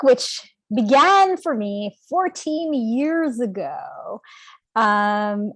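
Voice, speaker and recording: A woman in her forties, an author from Canada, answering interview questions from home in an animated, storytelling way with frequent ums. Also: a little high, no room sound. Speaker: author guest.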